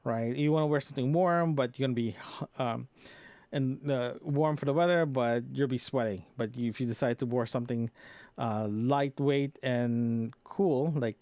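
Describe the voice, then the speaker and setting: Guy doing a casual solo audio diary, average pitch, kinda halting n rambly, repeats himself, close dry mic. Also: a little mellow, no echo. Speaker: podcaster recording a personal audio log. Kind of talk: casual unscripted solo chat. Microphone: close microphone into a recorder, compressed.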